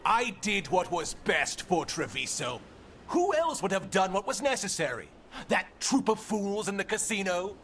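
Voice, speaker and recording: An actor playing a pompous, sardonic official in a video game drama, haughty and self-justifying. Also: male voice, sits high, big pitch swings, moderate pace, fairly bright tone, slight background noise. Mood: defiant.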